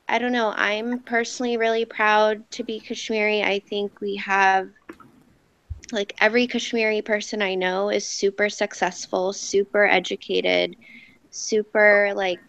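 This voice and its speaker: A woman's voice: mid-range voice, a bit bright, clean tone, no room sound. A young American woman speaking reflectively in a casual group discussion, often saying "like". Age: young adult. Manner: reflective, frequent "like". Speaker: youth panel participant.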